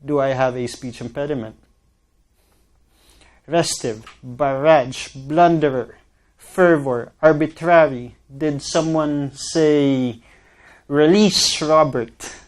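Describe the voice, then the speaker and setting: Slow, rhythmic man. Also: mid-range voice. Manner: repeating word lists in a slow, rhythmic, drawn-out way. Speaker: guest. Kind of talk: spoken word poem.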